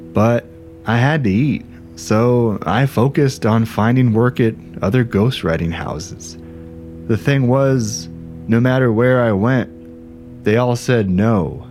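An actor playing an aggrieved, self-dramatizing ghostwriter in a conversational, wry, indignant audio drama monologue. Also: male voice, on the low side, darkish tone. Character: aggrieved, self-dramatizing male ghostwriter telling his grievances. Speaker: narrator and protagonist.

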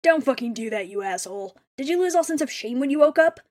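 A woman playing a loud, foul-mouthed, short-tempered young husband in a fan audio drama. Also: high-pitched, animated, fast talker. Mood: furious, exasperated.

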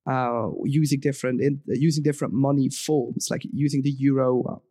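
A man's voice: medium pitch, fairly even intonation, moderate pace, dark tone, husky, no echo, studio quality. A young man from Switzerland, a podcast guest talking casually, rambling with many ums and restarts. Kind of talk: casual interview talk.

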